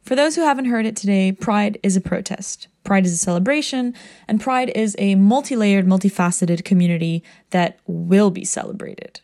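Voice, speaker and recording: A woman host opens a podcast showcase with an enthusiastic scripted introduction. Her voice sits in a middle pitch and stays upbeat throughout. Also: moderate pace, darkish tone.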